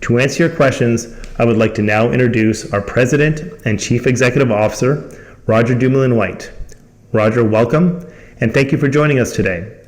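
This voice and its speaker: A man's voice: mid-range voice, fairly quick. A man reading a scripted company presentation in a formal manner as the host. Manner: formal, reading from prepared text.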